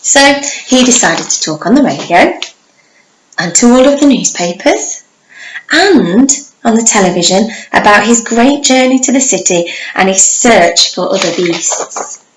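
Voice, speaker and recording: A woman reading a children's story aloud like a primary teacher, with dramatic pauses and drawn-out words for young listeners. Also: on the high side, lively intonation. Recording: echoey room, faint background noise.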